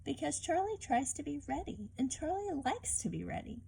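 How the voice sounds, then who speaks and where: A woman reading a picture book aloud and teaching children informally, expressive and often turning to the listener with questions. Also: sits high, moderate pace, gravelly, slight background noise.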